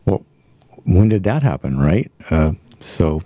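A man's voice: low-pitched, sing-song, takes it slowly, mellow, dry recording. A man speaking as a guest on a talk show, easygoing and reflective. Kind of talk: conversational radio talk.

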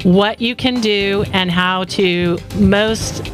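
A woman's voice: medium pitch, steady tone, faint music behind. A woman hosting a call-in talk radio show, giving her opinions and taking calls in an opinionated, chatty way. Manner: opinionated, chatty, quick to thank callers. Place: radio studio. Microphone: studio microphone.

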